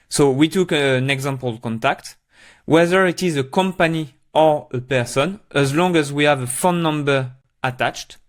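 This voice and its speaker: A man presenting a software tutorial, explaining step by step in plain, short instructional phrases. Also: a little high.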